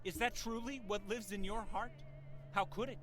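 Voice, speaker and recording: An actor playing a self-righteous, bitter vampire preacher in a video game, with theatrical, archaic and pompous diction. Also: male voice, high-pitched, steady tone, moderate pace, a bit bright, faint music behind. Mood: scornful, demanding.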